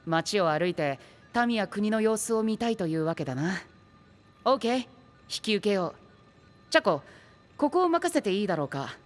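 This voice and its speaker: Casting: refined, loyal young gentleman, expressive swings in pitch, courteous formal diction, light music behind. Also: normal speed. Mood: courteous, assured. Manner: stiff gentlemanly speech sprinkled with English words. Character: dignified, courteous princely youth, loyal and formal. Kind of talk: anime-style audio drama acting.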